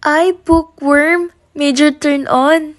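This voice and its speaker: A woman's voice: sits high, fairly even intonation, drawn-out words, dry recording. A young woman playing bubbly, teasing Liza. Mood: playful. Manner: playful, mixes English into her talk. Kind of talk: student radio drama.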